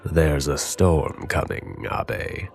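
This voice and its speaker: A man playing a grave, brooding mentor in an anime audio drama, slow and ominous. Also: steady tone, a little mellow, soft background music, exaggerated voice. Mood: somber, ominous. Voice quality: very deep, gravelly. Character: grave, brooding male mentor warning of danger.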